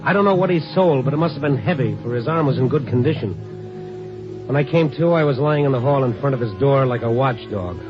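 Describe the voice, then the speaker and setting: An actor playing a world-weary, persistent newspaper reporter with a clipped hard-boiled manner, in an old-time radio drama. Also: male voice, mid-range voice, lively intonation, a little fast, music in the background, close to an everyday voice. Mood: wry narration. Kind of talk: old-time radio acting and scripted narration. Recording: dull old radio recording.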